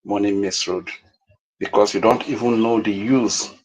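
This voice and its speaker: A man with a Nigerian accent giving an impassioned political address, speaking in a deliberate, emphatic, repetitive way with rhetorical questions. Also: on the low side, steady tone, slight background noise, low-quality recording.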